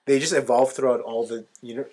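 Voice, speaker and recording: A man's voice: medium pitch, fairly even intonation, fast, darkish tone. A man co-hosting a podcast, explaining things casually like a knowledgeable fan in conversation. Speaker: podcast co-host. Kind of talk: casual fan chat about anime.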